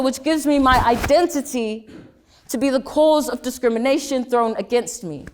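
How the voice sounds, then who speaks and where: Late-teen girl from Samoa, bright high voice; measured orator who drags out punchlines. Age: late teens. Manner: emphatic, slows right down on key phrases. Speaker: student speaker. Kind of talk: prepared speech competition oratory. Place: school speech competition.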